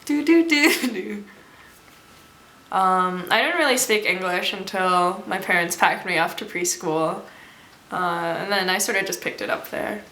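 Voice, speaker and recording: Young woman, native Mandarin speaker, bright and soft-spoken, halting delivery, echoey room. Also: mid-range voice. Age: young adult. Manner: soft-spoken, hesitant, many fillers. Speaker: college student. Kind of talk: documentary interview.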